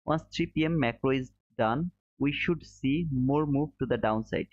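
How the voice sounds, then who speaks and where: A man's voice: normal speed, darkish tone, no echo. A friendly man chats through a live trading stream, thanking viewers and calling them brother. His voice sits in a middle register. The sound is a little muffled and dry. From Bangladesh. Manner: friendly and chatty, calls viewers brother, says boom. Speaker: livestream trading host. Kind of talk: casual live-stream commentary, reading viewer chat. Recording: dull, slightly muffled, dry.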